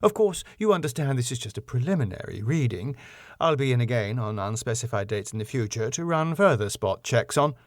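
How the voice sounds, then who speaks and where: A man's voice: mid-range voice, sing-song, fairly quick, dry recording, studio quality, natural voice. A man voicing a stern female council inspector in a sitcom, formal, clipped and pompous. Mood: officious. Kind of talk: sitcom script read aloud.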